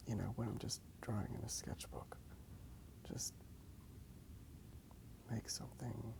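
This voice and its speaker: A man rambling softly in a breathy ASMR whisper, unhurried and halting as he comments on his drawing. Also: medium pitch. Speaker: host of a drawing ASMR channel.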